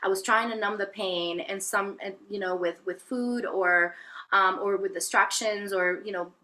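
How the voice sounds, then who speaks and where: A woman's voice: medium pitch, fairly even intonation, normal speed, bright, forceful tone. A woman from Venezuela, a guest telling her life story in an interview, hesitant with many fillers and restarts. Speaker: guest, spiritual healer. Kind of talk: reflective personal interview talk.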